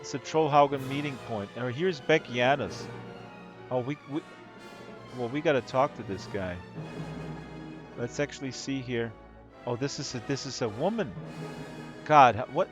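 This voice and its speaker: A man with a hoarse voice giving casual, excitable live-stream commentary, rambling and gushing with amazement. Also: mid-range voice, moderate pace, pauses often. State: hoarse from talking all day. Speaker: live streamer, virtual world tour guide. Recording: dull lo-fi stream audio with music underneath. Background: music playing.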